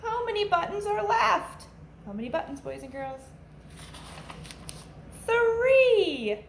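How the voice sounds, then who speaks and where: A woman reading aloud to young children like a teacher, in an animated way with simple phrasing addressed straight to them. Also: very high-pitched, slow, creaky. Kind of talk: children's read-aloud, with sung refrains and asides to listeners. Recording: echoey room with faint background noise.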